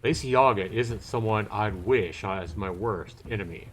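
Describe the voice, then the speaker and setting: A man's voice: on the low side, normal speed, slight background noise, lightly acted. A man voicing a courteous elf who is indignant and sympathetic, reading visual novel game dialogue. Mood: apologetic.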